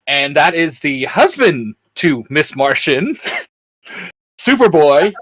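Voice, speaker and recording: A man co-hosting a geek show in casual fan chat, enthusiastic and halting, often repeating his words. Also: fairly high pitch, big pitch swings, a bit bright, slight background noise, low-quality recording. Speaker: co-host of a geek culture show.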